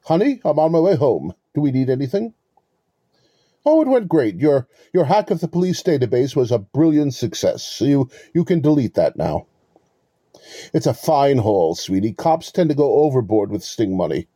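A man acting in an audio play as a smug, pompous con artist, lecturing verbosely with mock courtesy in a husky voice. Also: mid-range voice, big pitch swings, moderate pace, mellow, no room sound, studio quality. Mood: pleased, chatty. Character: smug, verbose male con artist posing as police lieutenant.

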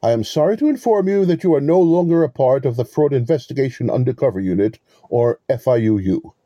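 Smug, pompous male swindler for an audio play, played by a man: very dark, husky tone, swooping intonation, wordy mock courtesy. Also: medium pitch, expressive, a little fast, no room sound, highs cut off, natural voice. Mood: scornful, commanding. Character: smug, verbose male con artist posing as police lieutenant. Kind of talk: two-person audio play acting.

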